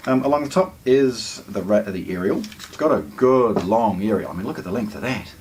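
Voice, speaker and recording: A man with a lowish voice talks through a product in a casual, explanatory way. His intonation rises and falls a great deal, and he pauses hesitantly between phrases. There is faint noise behind him. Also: lively intonation, a little mellow. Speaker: product presenter. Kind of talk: casual hands-on unboxing commentary.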